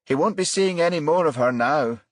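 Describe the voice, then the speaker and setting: A haughty woman in her late forties, played by a male narrator in a crime audiobook. The voice is lifted high and moves freely, with cool condescension and a sardonic edge, recorded close and dry. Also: expressive, moderate pace, no room sound, close to an everyday voice. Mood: dispassionate. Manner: condescending, dispassionate.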